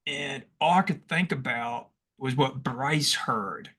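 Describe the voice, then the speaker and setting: A young man co-hosting a podcast, telling stories in a casual, animated way and acting out what he shouted. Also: mid-range voice, slow, slightly rough. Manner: animated, acts out past shouts; rambling with restarts and laughs. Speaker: podcast co-host. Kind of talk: casual storytelling chat.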